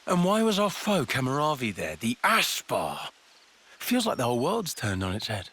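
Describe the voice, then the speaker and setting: A man's voice: mid-range voice, moderate pace, husky, heavily acted. A man voicing a chatty, matey young soldier in a video game cutscene, talking in a colloquial, fretful way. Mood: puzzled, brisk. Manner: colloquial, animated.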